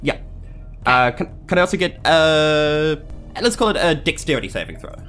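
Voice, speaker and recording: A woman with a low voice and animated intonation chats as a player in a tabletop game. She is playful and jokey, and soft music sits behind her. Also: moderate pace. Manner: joking, playful. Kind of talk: casual table chat.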